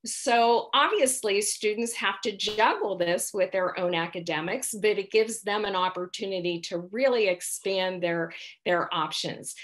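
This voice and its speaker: A woman moderating an online panel on a video call, speaking in a measured, formal and explanatory manner. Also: medium pitch, fairly bright tone.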